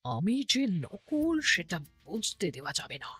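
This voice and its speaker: A devious impostor queen. She mutters slowly and conspiratorially in a husky, breathy voice that still has a sharp, lively edge. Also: mid-range voice, no room sound, soft background music, heavily acted. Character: devious impostor queen, secretly plotting, sinister woman. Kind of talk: cartoon voice acting.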